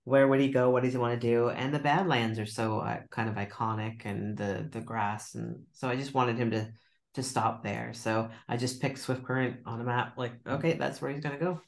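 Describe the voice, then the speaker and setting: A woman's voice: a bass voice, steady tone, normal speed, vocal fry. Chatty woman on a video call. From Nova Scotia, Canada. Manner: chatty, self-deprecating, laughs often. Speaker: novelist guest. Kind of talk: casual book club conversation.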